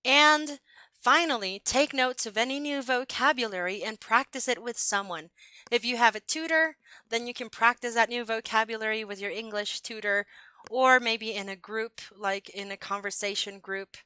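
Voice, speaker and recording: A young adult woman with an American accent teaches English in a clear, upbeat way, stressing example phrases so learners can copy them. Her voice sits a little high and sounds bright and forward. The recording is dry and close, with no room sound. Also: moderate pace, dry recording. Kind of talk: online English lesson.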